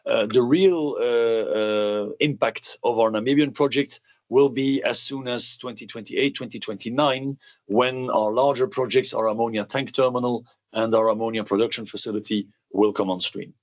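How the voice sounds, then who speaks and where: A man's voice: medium pitch, fairly even intonation, moderate pace. Businessman explaining company strategy. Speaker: company executive. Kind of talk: answering questions on an earnings call.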